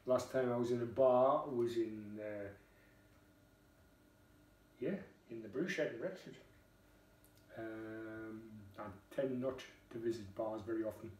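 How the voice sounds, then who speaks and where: A man's voice: medium pitch, takes it slowly, pauses often, darkish tone, slight background noise. A middle-aged man with a Geordie accent, chatting casually and wryly at home as he reviews a beer, with the odd swear word. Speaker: beer vlogger. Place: home kitchen, indoors. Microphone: camera across the table. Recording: roomy kitchen, voice from a distance.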